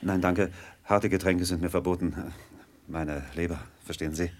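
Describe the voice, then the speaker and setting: A man's voice: low voice, steady tone, normal speed. A man playing a polite, formal company representative in a children's radio play, courteous and officious with small hesitations. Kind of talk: 1970s German children's radio play acting.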